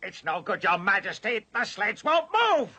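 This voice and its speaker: An actor playing a gruff dwarf sled driver and servant in an animated fantasy, with a barking manner. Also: male voice, high voice, normal speed, heavily acted. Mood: grumbling. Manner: sharp, barking. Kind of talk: animated fantasy character acting.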